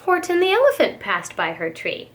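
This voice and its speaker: A woman reading a storybook to young children as a preschool reader, with dramatic, deliberate phrasing. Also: high voice, huge pitch swings, a little hiss or hum.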